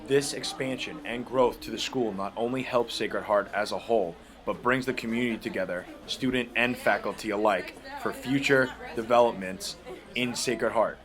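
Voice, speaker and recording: Male student reporter, slightly low pitch, scripted delivery. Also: moderate pace, fairly bright tone.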